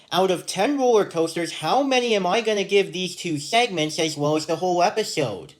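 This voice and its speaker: A Canadian man with a general North American accent, hosting a show and reading a scripted episode review in a measured, list-like way. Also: sits high. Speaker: podcast host and episode reviewer.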